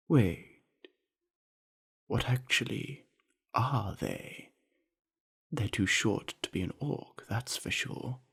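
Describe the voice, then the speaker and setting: A man playing a friendly, earnest young orc university jock in an ASMR roleplay, chatting easily in a gravelly, husky voice with musing pauses. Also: low voice, full character voice. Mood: curious musing. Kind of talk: scripted ASMR roleplay addressed to the listener.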